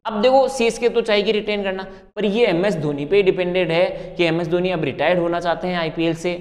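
A man's voice: high voice, a little fast. A young man giving emphatic, conversational sports analysis to camera as a cricket presenter. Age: young adult. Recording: long reverberant hall-like echo.

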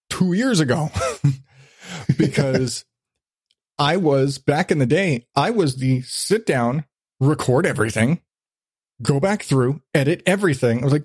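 A man's voice: medium pitch, darkish tone, slightly rough, no echo, studio quality. A man hosting a podcast in a casual, profane chat, telling things animatedly with acted-out asides. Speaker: podcast host.

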